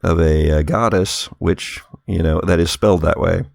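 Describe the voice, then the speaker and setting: A man improvising narration as a game master at a tabletop game, phrasing things in a halting, thoughtful way. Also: low voice, fairly even intonation, gravelly, no echo, studio quality.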